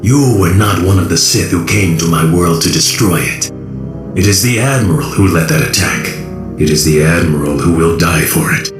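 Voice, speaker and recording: Brief: male actor as grave alien rebel chief, low voice, proud and weary, game score behind. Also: natural voice. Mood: grave resolve. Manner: measured, formal, grave. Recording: echoing voice under loud game music.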